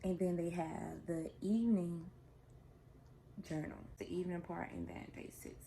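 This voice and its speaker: A woman's voice: fairly low pitch, fairly even intonation, moderate pace, creaky, warm tone, slight background noise. A woman, chatting casually and heartfelt in a vlog, rambling and repeating herself. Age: 20s. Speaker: vlogger.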